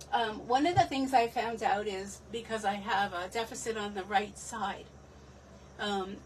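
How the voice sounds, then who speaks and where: A woman's voice: a little high, fairly bright tone. A middle-aged woman losing her voice, hosting a live craft stream from her home desk in a chatty, rambling, self-deprecating way. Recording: distant from the mic, faint room noise.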